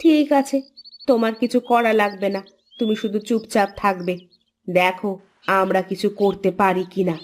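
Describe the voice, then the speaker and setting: A woman's voice: medium pitch, fairly even intonation, moderate pace, lightly acted. A woman voicing a practical, encouraging village housewife in a cartoon moral story, with an earnest, coaxing delivery.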